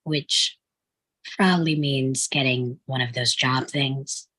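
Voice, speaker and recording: A woman's voice: extremely low pitch, fairly even intonation, takes it slowly, a bit bright, heavily acted. An actress reading the part of a practical, teasing young woman in a sitcom table read. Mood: careful.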